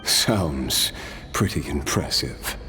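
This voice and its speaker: An actor playing a world-weary immortal warrior in video game dialogue, speaking in a wry, reflective way. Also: male voice, very deep voice, lively intonation, takes it slowly, sharp tone, faint music behind, exaggerated voice. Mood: wry, amused. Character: world-weary immortal male warrior recounting his past.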